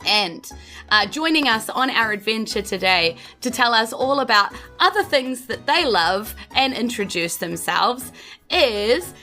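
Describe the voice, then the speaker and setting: A woman's voice: a little high, expressive, measured pace, sharp tone, faint music behind. A woman with a New Zealand accent, hosting and narrating a tabletop game podcast as game master.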